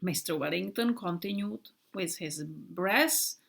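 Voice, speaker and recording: Slow-reading woman. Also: on the low side, gravelly, husky. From Czech Republic. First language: Czech. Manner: slow, soft storybook reading with quiet whispered touches. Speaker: author reading her book. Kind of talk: reading a children's book aloud.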